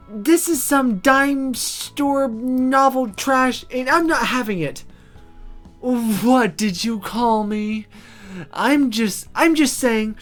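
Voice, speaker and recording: A woman's voice: fairly high pitch, pitched above the performer's own voice, close to an everyday voice. A woman voicing a prudish, easily flustered young female magic student in a visual novel, protesting in exasperation.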